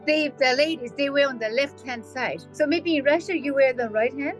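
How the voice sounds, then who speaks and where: A Japanese woman pianist interviewing a guest in English, eager and quick with short agreements. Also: high voice, soft background music. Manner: enthusiastic, lots of short affirmations. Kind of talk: casual interview chat.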